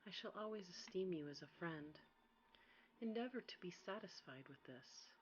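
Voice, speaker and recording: A woman voicing a kind, candid, timid young woman in a fairy tale, softly, politely and earnestly. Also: lowish voice, lively intonation, moderate pace, muffled recording, natural voice. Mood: gently firm. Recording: faint background noise.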